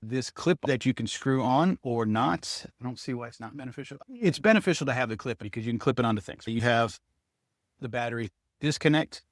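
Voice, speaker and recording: A man's voice: mid-range voice, brisk, dry recording, studio quality. A man giving a casual hands-on product review, speaking to viewers and thinking aloud as he demonstrates.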